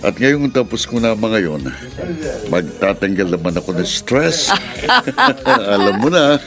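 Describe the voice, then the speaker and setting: A wealthy, sly, lecherous patron in a Filipino radio drama. His low voice has a Filipino accent and an animated, unhurried lilt, with music playing behind. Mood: jovial, sly. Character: rich, jovial, lecherous regular brothel customer. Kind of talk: Philippine radio drama acting.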